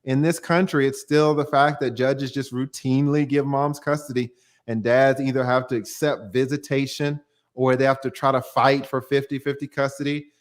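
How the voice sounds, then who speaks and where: A man's voice: medium pitch, level tone, normal speed. A man, a divorce attorney, explaining the law in a plain-spoken way on a call-in livestream and using everyday examples.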